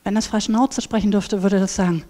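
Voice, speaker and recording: A woman in her thirties on a headset mic, giving a lively conference talk and acting out inner voices. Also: mid-range voice, brisk. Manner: animated, acts out inner voices. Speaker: speaker at a conference. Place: large brick hall with seated audience. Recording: reverberant hall.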